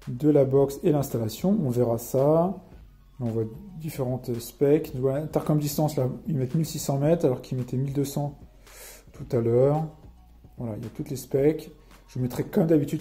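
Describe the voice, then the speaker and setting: A man's voice: mid-range voice, dark tone. A man with a French accent casually walks through a product as a reviewer, reading out specs and explaining them as he goes.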